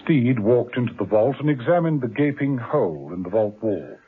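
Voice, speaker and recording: A man's voice: medium pitch, animated, normal speed, breathy edge. Wry male radio drama narrator. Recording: tinny lo-fi old radio broadcast.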